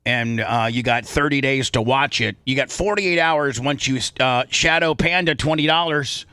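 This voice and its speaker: Bright-voiced, forceful male radio host. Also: mid-range voice, clear, resonant tone, dry recording. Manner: halting, rambling. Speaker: host and boss of the show. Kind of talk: casual radio show chat. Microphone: close studio microphone.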